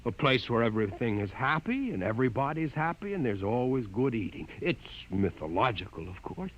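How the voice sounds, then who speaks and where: Man playing an excitable young radio-drama scientist. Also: medium pitch, brisk, lightly acted. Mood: earnest, dogmatic. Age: young adult. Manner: earnest, excitable, theatrical. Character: earnest young male scientist, orthodox, timid and disbelieving. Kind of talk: 1950s radio drama acting. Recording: dull, hissy 1950s radio recording.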